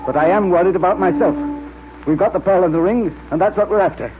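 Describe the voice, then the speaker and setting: A man's voice: sits high, fast talker, faint music behind, natural voice. An actor playing a wary, defiant henchman in an old radio crime drama. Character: wary, defiant henchman thief who balks at murder. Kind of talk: 1940s radio acting. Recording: tinny, faintly noisy old radio recording.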